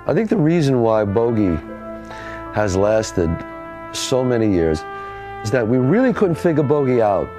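A man's voice: medium pitch, sing-song, measured pace, long pauses. A man giving reflective remarks as a documentary interviewee. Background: guitar music.

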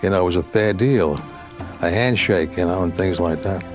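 A man's voice: low voice, moderate pace. A man speaking casually as a documentary interviewee. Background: music.

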